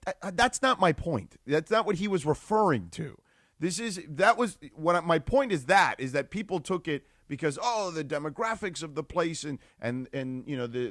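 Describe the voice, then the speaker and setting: Brief: male radio co-host, animated swooping delivery, dry close mic, rambling explainer. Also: fairly high pitch, dry recording. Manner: rambling, explains at length, many restarts. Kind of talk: sports talk radio analysis. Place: radio studio. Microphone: studio microphone.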